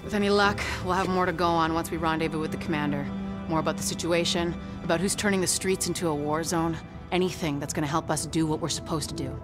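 A woman's voice: sits low, fairly even intonation, quick pace, hardly any pauses, over music, natural voice. A woman playing a determined young government field agent in a video game, earnest and businesslike. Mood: resolute, businesslike. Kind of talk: video game cutscene acting.

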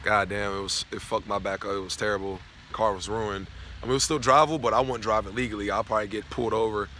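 A man's voice: on the low side, fairly even intonation, quick pace, pushed and bright. A young man from Tennessee vlogging outdoors on a college campus, rambling loosely and swearing freely into a phone held at arm's length. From Knoxville, Tennessee. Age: young adult. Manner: loose, profane, rambling talk. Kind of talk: casual vlog rant. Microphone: phone camera held at arm's length. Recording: outdoor phone audio with clear background noise.

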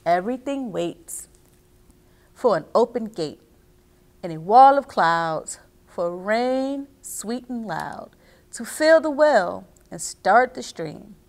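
A middle-aged woman reading and explaining to children as a story time host, expressive and speaking directly to her young listeners. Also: medium pitch, takes it slowly. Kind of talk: children's read-aloud and instruction. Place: small indoor reading nook with bookshelves.

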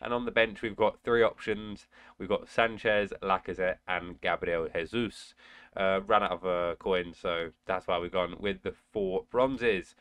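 Casting: young man, nasal from a cold, lowish voice, gaming commentary with sudden excited shouts. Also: unhurried, smooth, no echo. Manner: excitable outbursts during play, muttering between. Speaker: gaming channel host. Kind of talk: casual gameplay commentary. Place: indoor room at home.

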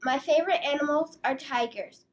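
A woman's voice: sits high, moderate pace, soft background music. A nine-year-old girl eagerly introducing herself as a contestant in a classroom. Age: child, nine.

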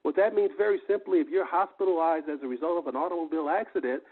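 Guy doing a salesy presentation on a conference call, emphatic, kinda husky, tinny phone sound. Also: fairly high pitch, quick pace. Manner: emphatic, repetitive, rhetorical questions. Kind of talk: sales pitch explaining benefits. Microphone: telephone. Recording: muffled phone conference line, lo-fi.

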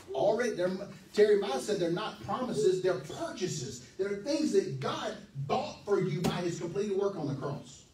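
Animated preacher man in an echoey hall. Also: sits high, recorded from a distance, slight background noise. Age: 40s. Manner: animated, emphatic, pacing the stage. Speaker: pastor. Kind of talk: sermon. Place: church hall, medium-sized, indoors. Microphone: handheld mic.

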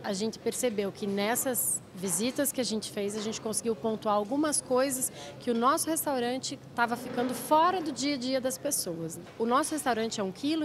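A Brazilian woman in her thirties explains things in a TV interview. Her voice is slightly high, with faint restaurant noise around her and a handheld mic close by. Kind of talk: on-the-spot interview. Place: busy buffet restaurant. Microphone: handheld reporter mic.

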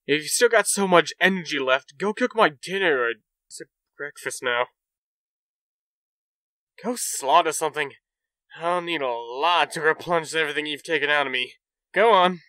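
A man's voice: on the high side, moderate pace, pushed and bright, no room sound. A man voicing a cocky, blustering young soldier in a comic book dub, with a theatrical, exasperated manner. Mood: drowsy, bossy.

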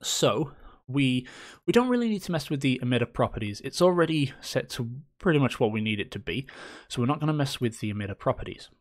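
A man hosting a screen-recorded game engine tutorial, chatty and upbeat with playful little cheers, his voice slightly hoarse from a coming cold. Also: medium pitch, animated, fast talker, few pauses.

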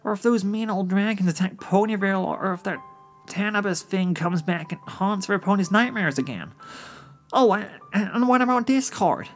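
Man voicing a soft, high-pitched frightened little filly. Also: lively intonation, normal speed, faint music behind. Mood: anxious. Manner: hesitant, stammering. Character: frightened little filly, a young sister pony.

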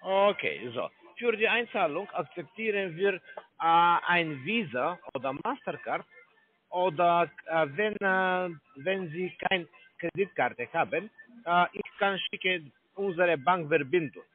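A man with a foreign accent making a sales pitch on a phone call in broken, halting German. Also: lively intonation. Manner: broken halting German, many restarts and fillers. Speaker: scam call center agent. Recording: tinny phone line.

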